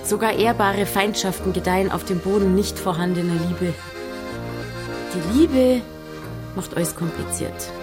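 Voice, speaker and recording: A woman with a Bavarian accent playing a brisk, unsentimental village innkeeper in an audio drama, blunt and teasing. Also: medium pitch, over music, lightly acted. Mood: argumentative. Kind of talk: audio drama dialogue in dialect.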